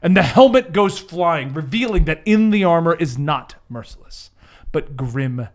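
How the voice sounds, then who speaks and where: A man narrating a tabletop superhero game as game master, telling it in an animated and often amused way. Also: on the high side, measured pace.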